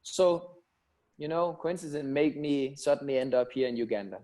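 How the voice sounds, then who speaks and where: A man's voice: on the high side, moderate pace, mellow. Man, earnest and reflective. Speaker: football academy founder and guest. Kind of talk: personal story told in a webinar interview.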